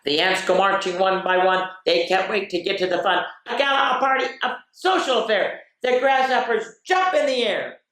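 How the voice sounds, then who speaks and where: Woman, children's storyteller, expressive, playful, chatty asides, read-aloud style, roomy echo. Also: medium pitch, normal speed, hardly any pauses. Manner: expressive, playful, drops into whispers for refrains. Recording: roomy, echoey sound.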